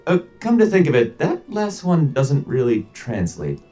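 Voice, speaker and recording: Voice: mid-range voice, wildly swooping pitch, moderate pace, warm tone, soft background music. An actor voicing a cheeky, mischievous necromancer shopkeeper in a video game, with a theatrical, teasing, breezy manner. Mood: playfully eager. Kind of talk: video game character acting.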